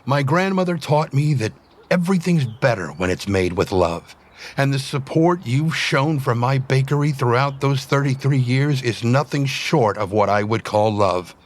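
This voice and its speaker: A man voicing a gentle, sentimental aging father animal in a motion comic, speaking tenderly and with emotion in a soft, husky voice. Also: mid-range voice, animated, normal speed, close to an everyday voice. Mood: wistful.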